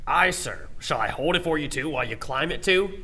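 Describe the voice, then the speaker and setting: A man playing a sardonic starship pilot with a dry, deadpan manner in a sci-fi radio play. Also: on the high side, brisk. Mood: sarcastic. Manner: dry, sarcastic. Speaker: actor.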